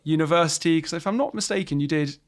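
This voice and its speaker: A man's voice: a little high, fast. A man hosting a podcast, asking questions in a friendly, conversational way. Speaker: podcast host. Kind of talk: podcast interview.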